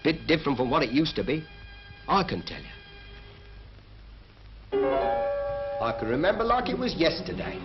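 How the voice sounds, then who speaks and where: An actor playing a chatty working-class English townsman telling his story in a 1950s animated film. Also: on the high side, unhurried, long pauses. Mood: cheerful. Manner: chatty, colloquial. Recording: dull 1950s film soundtrack, loud music.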